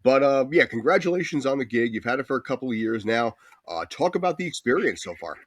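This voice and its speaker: A man's voice: medium pitch, lively intonation, quick pace, no echo, studio quality. A man hosting a casual sports podcast interview, talking in an informal, chatty way. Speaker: podcast host.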